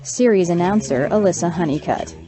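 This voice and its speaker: A woman's voice: fairly low pitch, animated, fairly quick, warm tone, low-quality recording. A woman series announcer reading scripted credits for a radio drama.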